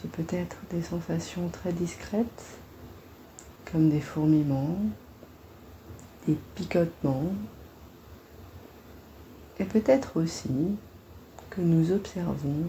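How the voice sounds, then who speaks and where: Low-voiced lady doing a guided meditation, super slow n gentle, bit of noise behind her. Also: poor audio. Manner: gentle, soothing, unhurried delivery with long pauses. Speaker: psychologist guiding a meditation. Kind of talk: guided body-scan meditation.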